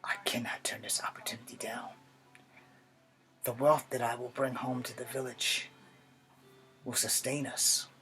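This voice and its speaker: Man acting hushed, solemn young soldier. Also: medium pitch, flat delivery, in a whisper, faint music behind. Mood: solemn, determined. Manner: hushed, halting, earnest delivery. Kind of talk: dramatic acted monologue.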